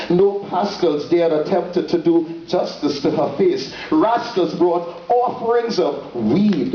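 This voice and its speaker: A man gives a dramatic spoken-word poetry reading, phrasing theatrically and solemnly with deliberate pauses. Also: fairly high pitch. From Trinidad and Tobago. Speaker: poet. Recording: dull lo-fi, roomy echo, distant, faint noise.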